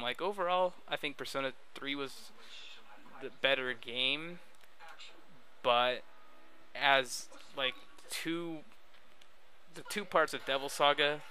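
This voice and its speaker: Young man, very bright voice, noisy recording. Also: medium pitch. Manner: slangy, informal, self-deprecating. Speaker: video creator addressing subscribers. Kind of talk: casual rambling vlog voice-over. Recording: steady background noise, amateur recording.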